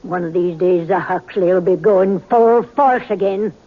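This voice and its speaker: A woman's voice: on the low side, measured pace. An older woman playing a stubborn, proud old frontier prospector in a radio western, with folksy, defiant talk. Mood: stubborn, assured. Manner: folksy, defiant, rustic grammar. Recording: tinny, band-limited vintage radio recording.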